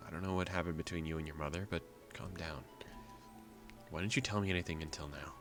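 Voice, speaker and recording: A steady, caring young man with a very low, even voice, as a man reads him. He speaks firmly and calmly, setting his words down one at a time. The tone carries concern without ever raising itself. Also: quick pace, over music. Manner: firm, calm, reassuring. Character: steady, protective young man who cares deeply.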